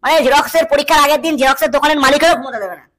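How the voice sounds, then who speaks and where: A woman's voice: sits high, very fast, fairly bright tone. A cheeky cartoon schoolboy acted by a woman speaks. He lectures theatrically, piling up repeated phrases in comic, overdramatic fashion. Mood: heated, mocking. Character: dramatic, cheeky cartoon schoolboy explaining exam cheats.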